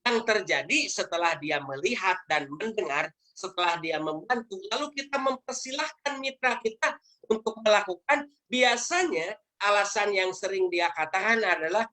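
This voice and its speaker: A woman's voice: medium pitch, lively intonation, normal speed, sharp tone. A woman leading a motivational network marketing training over a video call, speaking emphatically in a lecturing manner and posing rhetorical questions.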